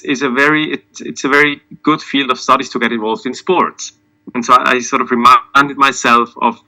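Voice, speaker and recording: A man from Switzerland speaking as a panelist, giving an informal personal account in a halting, self-deprecating way. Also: mid-range voice, pushed and bright, rough recording. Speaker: panelist, sports lawyer.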